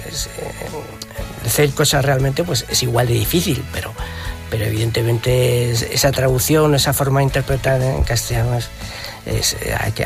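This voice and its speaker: A man who sings, interviewed in Spanish in a casual radio talk, speaking haltingly with many fillers and repeated words. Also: medium pitch, creaky. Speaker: singer and interviewed musician.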